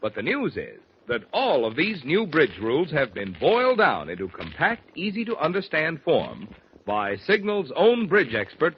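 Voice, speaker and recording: A man's voice: on the high side, slight background noise. A man announcing in scripted 1940s radio style, reading sponsor messages and programme notes. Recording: dull 1940s radio recording. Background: faint music.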